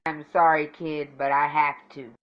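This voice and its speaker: Woman acting low-voiced, defiant vigilante heroine. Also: flat delivery, moderate pace, dull audio, lightly acted. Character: defiant, guarded female vigilante hero with a past. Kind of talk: amateur superhero film acting.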